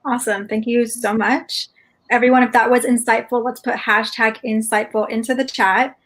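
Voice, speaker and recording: A woman with a fairly high voice moderates an online panel. She is upbeat and encouraging and thanks each guest. The sound comes through a video call. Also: steady tone, normal speed, fairly bright tone, low-quality recording.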